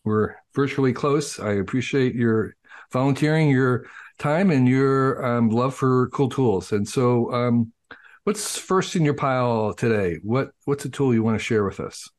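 A man's voice: mid-range voice, measured pace, a little mellow. A man hosting an interview, curious, summarizing what his guest shows. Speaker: host. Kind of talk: casual interview.

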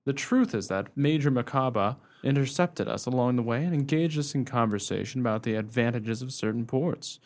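A man playing a pompous, smooth-talking captain in a comic period radio play, with flowery theatrical delivery. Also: mid-range voice, lively intonation, moderate pace, few pauses, lightly acted. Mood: smooth excuses.

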